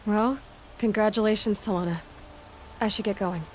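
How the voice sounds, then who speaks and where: A woman's voice: mid-range voice, steady tone, normal speed, slight background noise, natural voice, rough recording. An actress playing a plain-spoken young woman hunter in a video game, talking in a casual, direct, wry way. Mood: friendly, curious. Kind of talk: naturalistic video game acting.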